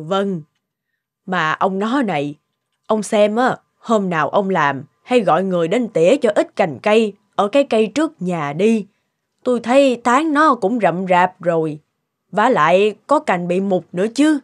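A woman playing a practical elderly housewife in a cartoon, chatty and gently nagging. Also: medium pitch, lively intonation, unhurried.

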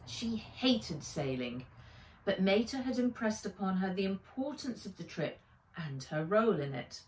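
A middle-aged woman reading a children's story aloud as its author, with expressive, emphatic dramatic narration. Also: mid-range voice. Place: sitting room by a window, indoors. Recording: roomy, slightly distant home recording.

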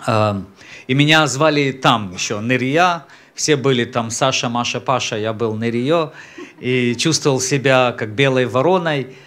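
A man in his thirties from Central Asia, a native Tajik speaker, giving a personal testimony to a congregation on a church stage into a handheld microphone, unhurried, with hesitations and throat clearing. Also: mid-range voice, a bit bright. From Samarkand, Central Asia. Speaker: messianic rabbi, guest speaker.

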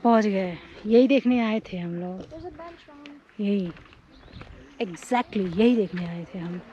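A woman in her thirties excitedly narrating a travel vlog while walking a sunny trail, holding the camera at arm's length. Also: mid-range voice, slow. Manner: chatty and excitable, pointing out sights. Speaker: travel vlogger. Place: outdoors on a sunny volcano hiking trail. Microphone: camera held at arm's length. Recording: dull outdoor phone audio with wind noise.

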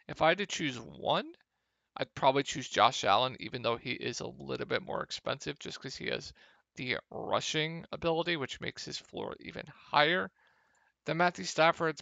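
A young man with a slightly hoarse, gravelly voice, casually talking through fantasy football picks over a screen share, with many ums. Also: on the high side, dry recording, dull audio. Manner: relaxed, lots of ums. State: a little hoarse. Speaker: fantasy sports analyst.

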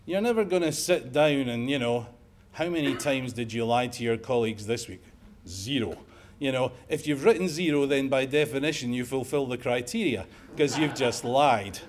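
A man's voice: mid-range voice, a little hiss or hum. Wry Scottish man giving a lecture. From Scotland. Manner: wry, joking, conversational lecturing. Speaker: emergency medicine professor giving a lecture. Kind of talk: conference talk. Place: lecture room.

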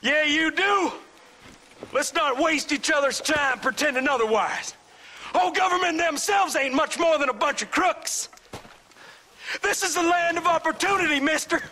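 An actor voicing a cocky, menacing, gleeful outlaw in a video game, with a mocking Western American drawl. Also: male voice, extremely high pitch, moderate pace, pushed and bright. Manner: drawling, mocking sing-song calls. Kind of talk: video game cutscene acting.

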